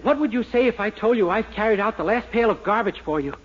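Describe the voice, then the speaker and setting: A high-pitched, earnest young fellow who is cheerfully dim and guileless. The style is 1940s radio comedy, with a muffled, hissy sound. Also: male voice, expressive, fast talker, fairly bright tone. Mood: solemn, naive. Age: young adult. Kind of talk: 1940s radio sitcom acting. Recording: muffled, noisy old radio broadcast.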